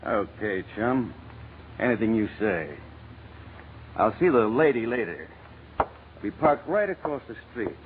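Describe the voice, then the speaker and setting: An actor playing a cocky, menacing crook in an old-time radio crime drama, with a tough, slangy, clipped delivery. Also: male voice, lowish voice, very animated, unhurried, pauses often. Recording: tinny, noisy vintage radio recording.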